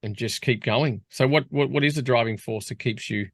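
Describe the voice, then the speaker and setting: A man's voice: mid-range voice, normal speed, no echo, studio quality. Australian male radio host, chatty. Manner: rambling, chatty questions. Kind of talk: casual interview questions.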